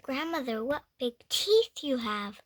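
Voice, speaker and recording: A woman's voice: sits high, big pitch swings, a little slow, dry recording, full character voice. A young girl playing an innocent, earnest little storybook girl with a wide-eyed, exclaiming manner. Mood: astonished. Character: innocent little girl visiting her grandmother.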